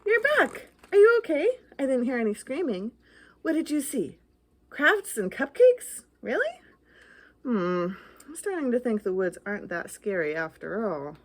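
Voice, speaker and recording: A woman's voice: on the high side, sing-song, a little slow. A woman voicing a jumpy, bluffing little ghost in a children's picture book, theatrical and full of anxious questions. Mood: doubtful.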